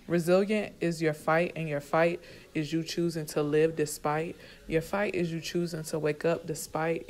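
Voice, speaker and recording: Female poet, deep register, earnest measured motivational spoken word. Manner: measured, earnest, emphatic repetition.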